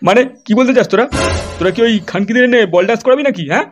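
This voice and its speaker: A nosy old neighbourhood uncle from a comedy cartoon, acted by a man. He grumbles pushily in a high voice and talks fast. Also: dull audio, music in the background. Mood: nosy, pushy. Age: older.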